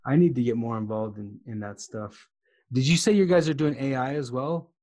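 An interviewer asking curious, relaxed questions with quiet short acknowledgments. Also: medium pitch, normal speed. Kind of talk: casual interview.

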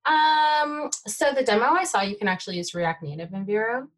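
Excitable woman rambling about tech. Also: on the high side, moderate pace. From United States (Bay Area). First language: Taiwanese. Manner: excitable, filler-heavy, trailing off. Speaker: guest developer. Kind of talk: casual tech interview chat.

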